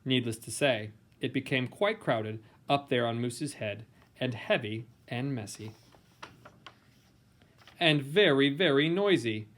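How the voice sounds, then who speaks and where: A man reading a children's picture book aloud in a playful, theatrical way with dramatic emphasis and pauses. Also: mid-range voice, a bit bright. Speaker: storybook reader.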